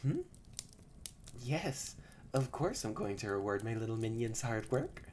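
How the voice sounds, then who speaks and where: A bratty tsundere catboy played by a woman in a roleplay audio drama, pitched very low and swinging up and down in lively curves. He talks at an unhurried pace, bossy and easily flustered with a soft heart underneath, over a noisy background. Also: exaggerated voice. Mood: surprised, grudgingly pleased. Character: haughty, tsundere young male catboy, secretly soft-hearted. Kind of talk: scripted roleplay audio drama, addressed to the listener.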